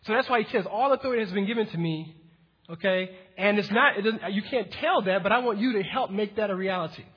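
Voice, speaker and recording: A man preaching a teaching sermon to his congregation, talking casually at first and building to emphatic, energetic preaching. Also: high voice, fast talker, husky. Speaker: pastor. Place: church hall with congregation. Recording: dull lo-fi recording in a reverberant hall.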